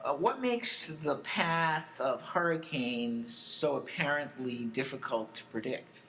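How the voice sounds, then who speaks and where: A male radio talk show host putting questions conversationally, in a halting way, often rephrasing them mid-sentence. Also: mid-range voice, a bit bright, a little hiss or hum, rough recording.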